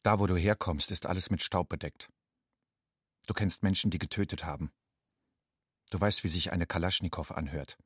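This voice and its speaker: An actor playing a somber narrator in a radio play, with a husky voice and a grave, repetitive, incantatory way of addressing the listener. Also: male voice, low voice, moderate pace, pauses often, no room sound, clean studio sound. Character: somber inner narrator addressing a war refugee as "you".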